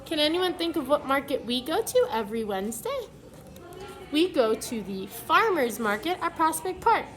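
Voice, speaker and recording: A woman preschool teacher reading a picture book aloud to young children, pausing to ask them questions in an instructive way. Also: high-pitched, big pitch swings, slight background noise.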